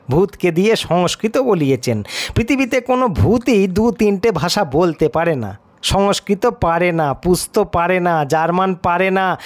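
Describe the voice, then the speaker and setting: A man's voice: sits high, no echo. An actor playing an irascible, scornful male stranger in an audio story, scolding sarcastically. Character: irascible cigar-smoking stranger, scornful critic of ghost stories. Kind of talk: audio horror-comedy story acting.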